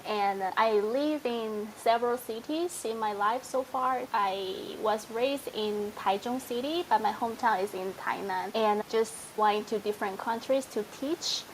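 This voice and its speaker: A woman's voice: fairly high pitch, unhurried, hardly any pauses, slight background noise, poor audio. A young woman from Taiwan, a native Mandarin speaker, answering interview questions in a modest, casual way with frequent laughs. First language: Mandarin Chinese. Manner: modest, laughs often, simple phrasing with fillers. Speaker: interview guest.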